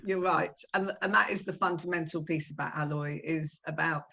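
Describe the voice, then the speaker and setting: A middle-aged woman being interviewed, talking casually with many pauses and filler sounds. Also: low voice, fairly even intonation, gravelly, a crowd in the background. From Iran. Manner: hesitant, many ums, laughs easily. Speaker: guest.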